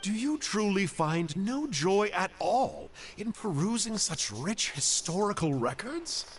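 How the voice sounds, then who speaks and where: Composed, studious game hero; higher voice, varied delivery, measured. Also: male voice, full character voice. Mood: dry. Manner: calm, measured reading aloud. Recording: game audio with clear music behind.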